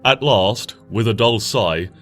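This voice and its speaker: A man narrating a story aloud, expressive, rising brightly and then dropping to a hush. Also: on the low side, slow pace. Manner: expressive, swings between bright and hushed. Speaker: fanfiction reader. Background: soft guitar music.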